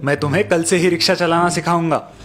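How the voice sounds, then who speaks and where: A man's voice: on the high side, steady tone, fast talker, fairly bright tone, close to an everyday voice. Man acting a kind, supportive young husband. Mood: encouraging, surprised. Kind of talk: Hindi moral-story cartoon dubbing.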